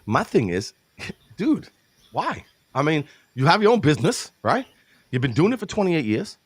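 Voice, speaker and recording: A man's voice: a little high, very animated, moderate pace, slightly rough, no room sound. A man from New York hosting a casual interview show, hyping his guest, laughing often and calling him brother. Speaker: show host.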